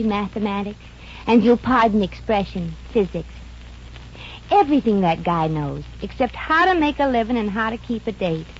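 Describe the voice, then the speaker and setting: A woman's voice: mid-range voice, lightly acted. An actress playing a plucky, wisecracking working-class young woman with a Brooklyn accent and slangy, ungrammatical talk in a radio comedy. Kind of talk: 1950s radio comedy acting. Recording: muffled, noisy old radio recording.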